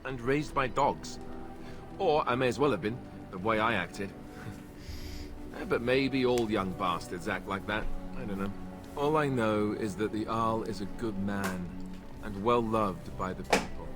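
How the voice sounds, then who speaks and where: An actor as wry low-voiced young soldier, music behind. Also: male voice, unhurried, close to an everyday voice. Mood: rueful, reflective. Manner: wry, self-mocking, candid. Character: wry, self-deprecating young bastard-born soldier. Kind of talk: video game voice acting. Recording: game audio under loud background music. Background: game music.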